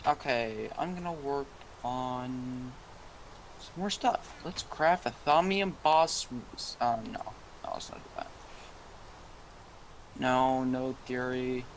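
A young man talks his way through a game, excitable and quick to swear. He speaks slowly, and a faint background hum sits under his voice. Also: medium pitch, rough recording. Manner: excitable, swears, yells and laughs. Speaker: gamer co-host. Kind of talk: casual gameplay chat.

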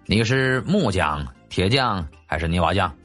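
An audiobook official of ancient China played by a man, stern and impatient. His voice is fairly low and husky. He gives curt, commanding orders. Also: lively intonation, a little slow, a bit bright, faint music behind, full character voice.

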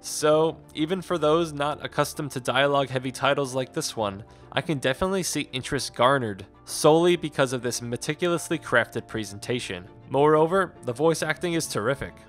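A man reading a scripted game review in a measured, formal way. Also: a little high, a bit bright. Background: background music.

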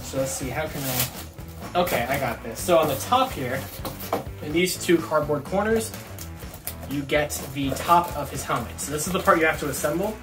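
A man's voice: a little high, moderate pace, music in the background. A young man giving a casual, enthusiastic unboxing review to camera in an informal, chatty way. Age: young adult. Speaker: toy review vlogger. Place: small dorm bedroom, indoors.

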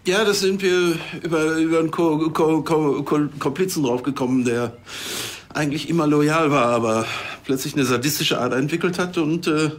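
A man's voice: fairly high pitch, moderate pace, lightly acted. A man playing a flustered, evasive policeman in a TV crime comedy, stammering and ingratiating himself awkwardly. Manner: stammering, hesitant, obsequious.

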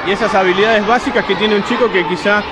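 A young man with an Argentine accent asking friendly, quick questions in a TV sports interview, holding a handheld mic in an indoor gym. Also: high voice, steady tone. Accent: Argentine Spanish. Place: indoor gymnastics hall with children. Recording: loud crowd and noise behind.

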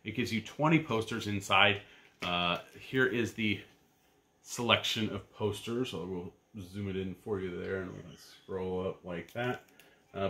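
A man in his thirties giving a casual, rambling rundown of his weekly comic picks from a shelf-lined room at home, full of ums and uhs and trailing off quietly. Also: mid-range voice. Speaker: comic book vlogger. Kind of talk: casual pull-list rundown.

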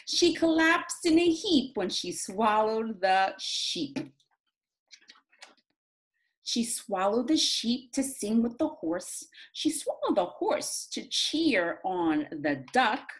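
A woman's voice: fairly high pitch, slow pace. Lady teacher talking to little kids, super swoopy sing-song voice, takes her time, echoey room. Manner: expressive, encouraging, sing-song, addressing children directly. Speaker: children's teacher. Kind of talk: read-aloud and craft lesson for young children. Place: small indoor home classroom. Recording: roomy, echoey home room sound.

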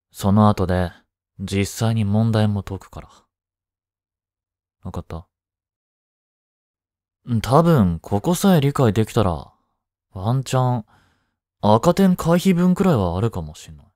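Audio drama male actor as studious high-school boy: blunt, caring tease, low, slow delivery. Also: lively intonation, no echo, clean studio sound. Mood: patient, instructive. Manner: casual, curt, softly teasing. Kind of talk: intimate Japanese boyfriend-roleplay audio drama.

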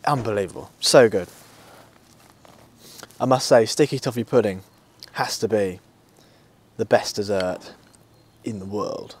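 A man's voice: lowish voice, a little slow, pauses often, darkish tone. A British man in his thirties chatting casually to camera as a vlog host, musing in an easygoing, enthusiastic way. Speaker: motorcycle reviewer, channel host. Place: outdoors at a table outside a country pub. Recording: clear outdoor ambient and vehicle noise.

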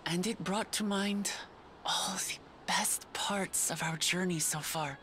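Bright-voiced, earnest young lion-woman warrior. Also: fairly low pitch, slow, a little hiss or hum. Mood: reflective, sincere. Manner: earnest, heartfelt, reflective. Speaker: video game character. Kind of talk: voiced game cutscene dialogue.

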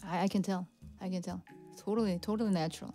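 Korean-accented female livestream host, 30s, chatty and soft, studio mic in a cozy room, faint piano. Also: fairly low pitch, fairly even intonation, fast talker. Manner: chatty, laughs often, soft and friendly. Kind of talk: casual chat with viewers, reading donations. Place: cozy home music room with Christmas decorations. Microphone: studio condenser mic on stand.

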